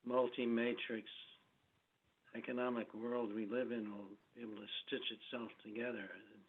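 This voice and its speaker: A man taking part in a conference call over a phone line, hesitant and deliberate, pausing mid-phrase as he makes his point. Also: on the low side. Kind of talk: unscripted question and comment. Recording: tinny narrow phone line.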